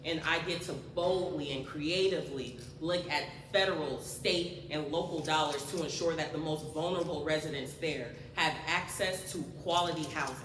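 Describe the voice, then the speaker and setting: A woman making a confident, emphatic campaign pitch at a public forum. She sounds set back from the mic, and her voice carries through a large echoing hall. Clear background noise runs underneath. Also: normal speed, few pauses, a bit bright. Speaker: candidate at a forum. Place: large community cultural center hall. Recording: distant voice in a reverberant hall with noise.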